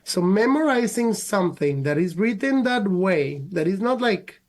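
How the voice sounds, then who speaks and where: A man's voice: sits high, very animated. A man whose first language is Spanish, a guest chatting casually in an interview and dropping "like" often. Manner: quick, filler-heavy speech with many "like"s. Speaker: race winner guest.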